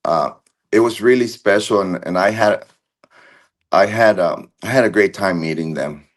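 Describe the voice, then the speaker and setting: A man with a low voice speaks haltingly on a video call, often trailing off and starting over with fillers. He is of Argentine background and talks as a guest recalling past events in a casual, searching way. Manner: halting, with many fillers and restarts. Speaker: interview guest. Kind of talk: casual recollection.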